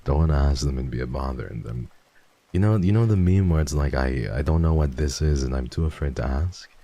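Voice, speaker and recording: A man streaming a casual chat with viewers, swearing freely and jumping from low mumbles to excited high yelps. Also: a little fast.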